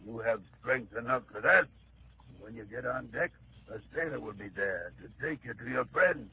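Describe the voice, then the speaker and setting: An actor playing a gruff, mocking sailor who speaks slowly, in 1930s radio drama style, on a dull old broadcast with faint hiss. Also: male voice, mid-range voice, lightly acted. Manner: mocking, slow and gravelly.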